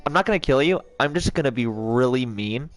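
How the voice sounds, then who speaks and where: A man's voice: medium pitch, lively intonation, brisk, faint music behind, close to an everyday voice. A man with a head cold voicing the common cold as a mean, smug male pest, in mocking sing-song taunts. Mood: taunting.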